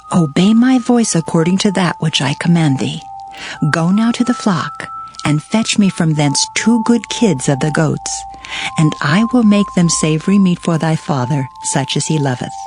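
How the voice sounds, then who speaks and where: A woman's voice: lowish voice, a little mellow. Woman acting an insistent, commanding mother over music. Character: commanding, scheming mother of grown sons. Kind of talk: dramatized scripture acting.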